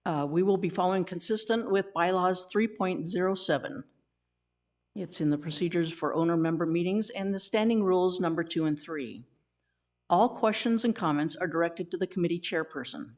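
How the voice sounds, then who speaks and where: A woman's voice: on the low side, darkish tone. Joking woman chairing a community meeting. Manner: wisecracking, reads formal rules then jokes with the room. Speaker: committee chairperson.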